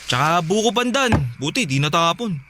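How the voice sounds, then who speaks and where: A man's voice: high-pitched, expressive, normal speed, background noise. A man playing a devoted, insistent youngest son talking earnestly to his father in a Filipino radio drama. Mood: casual, chatty. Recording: music playing behind.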